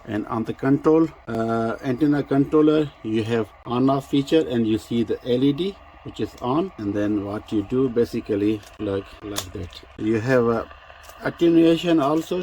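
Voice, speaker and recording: A man who speaks English as a second language gives an unscripted product demonstration, explaining technical details in a halting way with repeated words. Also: mid-range voice, mellow, a little hiss or hum, low-quality recording. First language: not English. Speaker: presenter of a vintage radio review.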